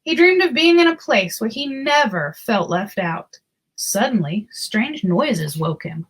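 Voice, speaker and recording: A woman narrating a children's storybook aloud in an expressive, deliberate storytelling manner. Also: on the high side, breathy edge.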